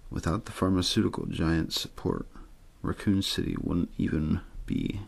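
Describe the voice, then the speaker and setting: A man softly reading a story in ASMR style, unhurried and untheatrical, with a husky, gravelly voice. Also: low voice, fairly even intonation. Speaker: host and reader of an audio ASMR show.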